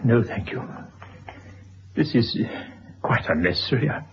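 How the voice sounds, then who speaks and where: An actor playing an ailing, apologetic gentleman, weak and breathless, speaking haltingly in a radio drama. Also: medium pitch, animated, close to an everyday voice. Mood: weakly apologetic. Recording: dull, noisy old radio recording.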